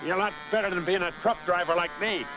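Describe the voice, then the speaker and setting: An actor playing a kindly, encouraging truck driver in a noisy, muffled 1940s film. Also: male voice, high voice, fairly even intonation, quick pace, close to an everyday voice.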